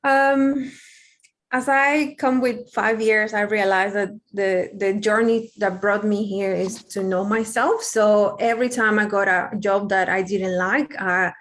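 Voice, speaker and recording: A woman's voice: medium pitch, steady tone, a little slow. Peruvian woman chatting candidly. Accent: Peruvian Spanish. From Peru. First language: Spanish. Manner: candid, rambling with fillers and restarts. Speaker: guest. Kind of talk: casual interview.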